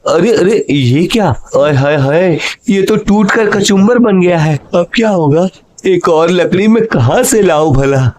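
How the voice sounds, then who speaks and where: A man's voice: sits high, lively intonation, a little slow, darkish tone. Anxious young cartoon hero Sattu, voiced by a man. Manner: frightened exclamations and muttering to himself. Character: poor young man Sattu, anxious and devoted to his mother. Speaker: narrator and voice actor. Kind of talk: Hindi cartoon voice acting.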